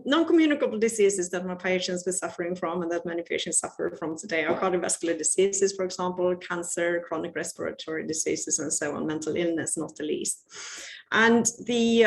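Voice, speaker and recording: Middle-aged Swedish woman, lowish voice, informal webinar presenter on a home mic. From Sweden. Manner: chatty, self-deprecating, restarts often. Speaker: guest speaker, researcher.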